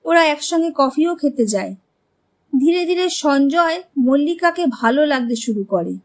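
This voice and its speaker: A woman narrating a scripted animated story as its storyteller. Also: high-pitched, unhurried.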